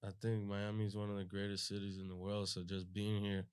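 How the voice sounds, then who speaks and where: Colombian-born guy, spanish is his first language, chill slangy podcast talk, deep-ish smooth even voice. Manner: laid-back, slangy, unhurried. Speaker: guest, entrepreneur.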